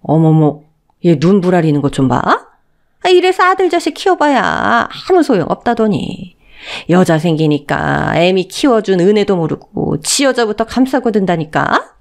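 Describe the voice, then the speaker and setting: A woman's voice: on the low side, sing-song, creaky. Woman acting a venomous, haughty mother-in-law, wildly swooping. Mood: indignant. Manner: sneering, rapid-fire berating. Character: haughty, venomous middle-aged mother-in-law, openly scornful. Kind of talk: radio story drama acting.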